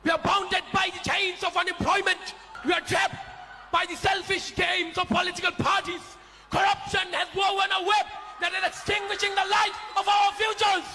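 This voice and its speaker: Fiery man shouting a protest speech. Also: extremely high pitch, flat delivery, moderate pace, faint music behind, a faint crowd. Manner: fiery, declamatory.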